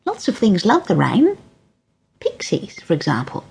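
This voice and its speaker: A woman voicing a gently cheerful grandmother in a storybook reading, lifting her voice higher and lilting. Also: huge pitch swings, unhurried, vocal fry, breathy edge, exaggerated voice. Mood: reassuring.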